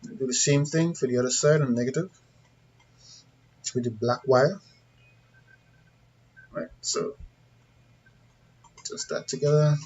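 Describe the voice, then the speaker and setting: A man's voice: medium pitch, takes it slowly, pauses often, a little mellow, husky. A man with a Trinidadian accent giving an informal hands-on tutorial, talking through each step in a plain-spoken, conversational way and checking in with viewers. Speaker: CCTV installer giving a tutorial. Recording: dull lo-fi sound with background noise.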